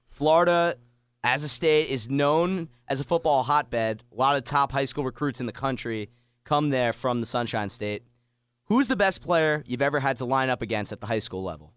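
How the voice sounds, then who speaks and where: Young man, sports radio interviewer. Crisp mid-pitched voice, dry recording. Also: animated, normal speed, no room sound. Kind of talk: pre-game radio interview.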